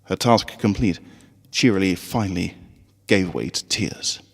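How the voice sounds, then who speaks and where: A man narrating a scripted story in an expressive, dramatic way. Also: low-pitched, unhurried, vocal fry, long reverb tail. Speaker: fan fiction reader.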